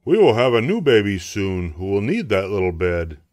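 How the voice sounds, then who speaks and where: A man's voice: lowish voice, sing-song, moderate pace, a little mellow, no room sound, clean studio sound. A man voicing a big, confident father bear from a storybook, in a hearty, declarative manner. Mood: matter-of-fact.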